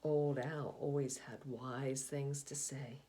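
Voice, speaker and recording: A woman reading a picture book aloud, expressive, often dropping to a hushed whisper. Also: extremely low pitch. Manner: expressive, slow, hushed asides. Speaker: storybook reader.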